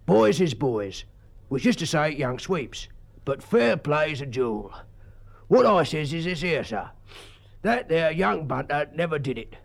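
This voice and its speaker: A creaky, stubborn old English porter. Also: male voice, a little high, very animated, unhurried, exaggerated voice. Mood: earnest. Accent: English, working-class dialect. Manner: rambling, ungrammatical, plain-spoken. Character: ancient, stubborn, deferential English school porter.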